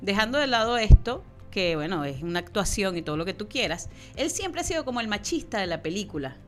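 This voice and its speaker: A woman from Venezuela with a Venezuelan accent, co-hosting a casual chat and telling animated, laughing stories. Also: mid-range voice, brisk, soft background music. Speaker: co-host.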